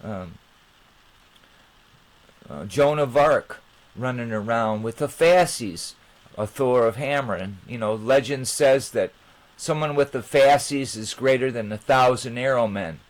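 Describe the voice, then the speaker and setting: A man hosting his own channel, talking informally to viewers in a rambling, halting way. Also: mid-range voice. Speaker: channel host.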